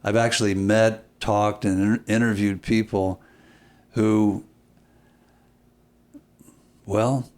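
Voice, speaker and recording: A man speaking as an interview guest, reflective and deliberate, often pausing and repeating phrases for emphasis. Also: lowish voice, level tone, a little mellow. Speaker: podcast guest, filmmaker.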